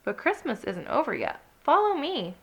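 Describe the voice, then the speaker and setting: A woman's voice: high-pitched, big pitch swings, moderate pace, vocal fry, lo-fi sound, voice pushed up, full character voice. A woman voicing a bossy, caring female rabbit in a children's storybook, exclaiming and encouraging in a cheerful way. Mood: cheerful, reassuring.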